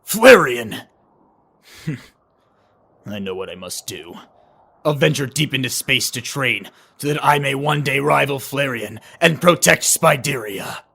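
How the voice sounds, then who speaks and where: A brooding cartoon warrior outsider, played by a man, husky and gravelly. He is blunt and dramatic, taking his time over his words. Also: medium pitch, lively intonation, takes it slowly, long pauses, sharp tone, heavily acted. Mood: brooding, resolute. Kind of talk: animated drama voice acting.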